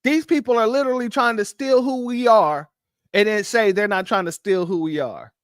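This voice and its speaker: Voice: medium pitch, normal speed, dry recording, studio quality. A host reacting to a clip on a livestream, speaking in a heated, emphatic way. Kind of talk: livestream commentary.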